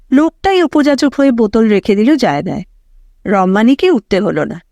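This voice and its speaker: A woman reads a literary story aloud with expressive delivery. Her voice sits fairly high and moves through wide, lively melody. The recording is dry, with hardly any room sound. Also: no echo. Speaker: audio story narrator.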